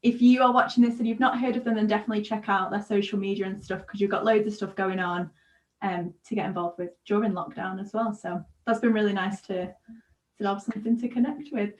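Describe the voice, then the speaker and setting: A woman's voice: mid-range voice, fairly even intonation, fast talker. A woman co-hosting a casual online faith chat, talking conversationally with plenty of "like" and "you know". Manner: warm conversational with fillers. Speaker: co-host.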